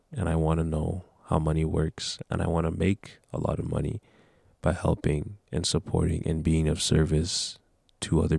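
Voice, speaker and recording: A man's voice: very deep voice, creaky, a little mellow, no echo, studio quality. A nineteen-year-old man talking casually to camera, giving earnest, rambling motivational advice. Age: young adult. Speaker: vlogger.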